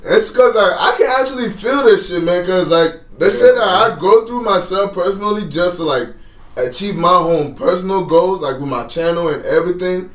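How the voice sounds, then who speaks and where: A man hosting a reaction video, talking casually in excitable, profane slang and laughing often. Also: sits high, moderate pace, reverberant, recorded from a distance.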